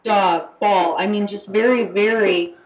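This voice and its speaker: A woman's voice: medium pitch, fairly even intonation, a little slow. A young American woman talking casually on a radio show, chatty with many fillers and restarts. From United States. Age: young adult. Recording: roomy, muffled radio recording.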